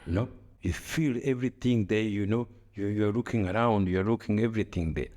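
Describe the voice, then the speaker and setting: A middle-aged East African man speaks in a deep voice with accented, second-language English. He talks reflectively, as on a podcast, often repeating a phrase before finishing the thought. He poses rhetorical questions as he reasons. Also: brisk, a little mellow. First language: not English. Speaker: podcast co-host. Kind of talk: reflective conversational podcast talk.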